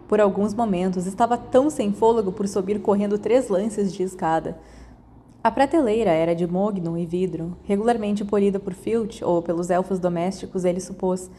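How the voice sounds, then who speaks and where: Brazilian lady reading a fiction audiobook, relaxed mellow tone, medium pitch. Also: a little fast, slight background noise. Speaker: podfic narrator. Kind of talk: scripted story narration.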